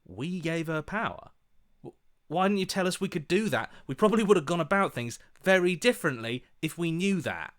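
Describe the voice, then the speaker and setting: A man acting an earnest, wry hero's inner voice in a video game, with an animated, emphatic delivery. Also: a little high, brisk, close to an everyday voice. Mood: indignant, surprised. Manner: animated, sarcastic, exasperated, emphatic. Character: earnest, wry young male hero as an inner voice.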